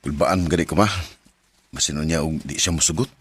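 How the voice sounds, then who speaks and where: A man's voice: a bass voice, fairly quick, a little hiss or hum. An actor playing an earnest, lovestruck young man in a radio drama. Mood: nervous, grateful.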